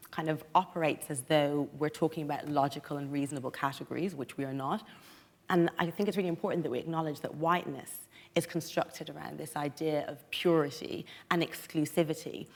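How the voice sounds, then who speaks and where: A woman with a softened Irish accent speaking analytically and articulately as a panellist in a live discussion in a theatre. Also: sits low, fairly even intonation, brisk. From Dublin, Ireland. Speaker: debate panellist. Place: on stage in a theatre. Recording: echoey theatre hall.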